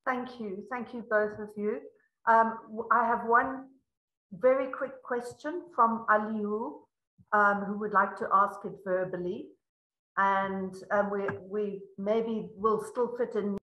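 A woman's voice: medium pitch, a bit bright. A mature woman moderating an online panel over dull, echoey video-call audio. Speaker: webinar moderator. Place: indoor home room on a video call. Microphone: computer video call.

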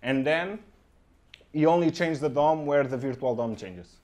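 A young man from Portugal with a Portuguese accent, giving a conference tech talk in halting English full of ums and okays, recorded on a handheld mic in a room. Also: fairly high pitch, a little mellow. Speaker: conference speaker. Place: conference room with projector, indoors.

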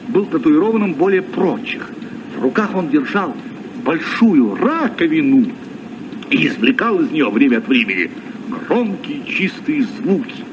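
An actor playing a learned, genial sea captain, telling travel tales expressively in a radio play. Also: male voice, a little high. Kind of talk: Soviet radio play storytelling. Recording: muffled, noisy old radio recording.

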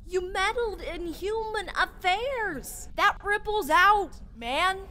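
A woman playing an exasperated, quirky, all-powerful judge in a comedic fan dub, scolding in an animated, colloquial way. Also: very high voice, slow, full character voice. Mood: indignant, scolding.

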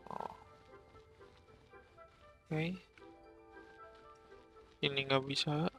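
An Indonesian-accented man comments casually while gaming, in short, muttered remarks. His voice is mid-pitched, flat and unhurried, with faint music behind. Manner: muttering brief remarks, echoing game lines. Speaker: gaming video maker.

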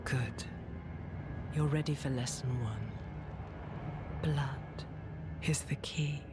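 An actress playing a commanding, menacing demon mother in a video game, with slow, breathy, solemn delivery. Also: very deep voice. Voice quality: breathy, half-whispered. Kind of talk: dramatic video game acting. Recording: game music behind.

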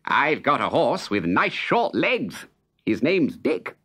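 A storyteller voicing a tearful soldier guard in a children's storybook, speaking high and wailing through sobs. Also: sing-song, slow, sharp tone, breathy edge, exaggerated voice. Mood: earnest. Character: sobbing soldier guard, adult man, tearful.

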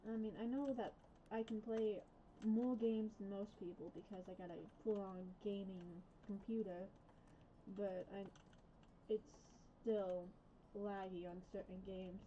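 American girl gaming and chatting, kinda mumbly r's, dark mellow voice, dull home mic with faint hiss. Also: medium pitch, level tone, measured pace. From USA. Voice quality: soft r sounds from a past speech difficulty. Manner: rambling, self-correcting, hesitant. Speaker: gaming vlogger. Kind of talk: casual gameplay chat.

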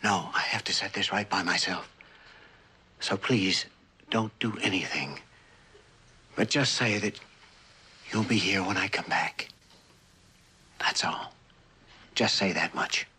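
An actor playing a troubled, remorseful husband in a mid-century television drama, speaking in an earnest, humbled way. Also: male voice, sits low, slow pace, long pauses, sharp tone, husky, exaggerated voice. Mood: remorseful, pleading.

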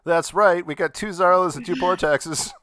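A man hosting a casual live-stream chat, talking in a halting, distracted way. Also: high-pitched, steady tone, bright, forceful tone, no room sound. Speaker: podcast host running the stream.

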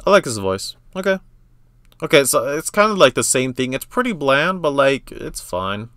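Young guy doing a game trailer reaction, really animated, slangy, dry close sound, repeats himself. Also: medium pitch, normal speed, clear, resonant tone, no room sound. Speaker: reaction video host. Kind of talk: casual excitable gamer reaction commentary.

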